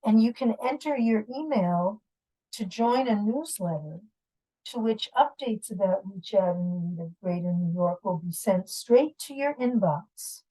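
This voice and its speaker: A woman's voice: mid-range voice, animated, unhurried, warm tone, poor audio. A woman storyteller reading and talking informally to families, explaining things directly to young listeners. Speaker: read-aloud storyteller. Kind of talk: picture book narration and informal address to families.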